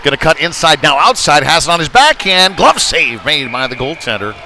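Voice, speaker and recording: Male hockey play-by-play commentator, conversational and folksy, recorded amid clear arena noise. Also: a little high, big pitch swings, brisk. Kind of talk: live youth hockey game commentary. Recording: noisy rink ambience behind voice.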